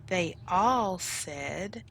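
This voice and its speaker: A woman teacher reading a children's storybook aloud, enunciating deliberately for young listeners. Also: on the low side, expressive. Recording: loud music behind the voice. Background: music.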